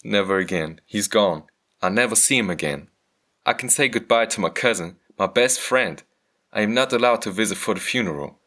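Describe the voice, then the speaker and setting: A man reading a scripted first-person story as a motion comic narrator, measured and earnest. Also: on the low side, pushed and bright.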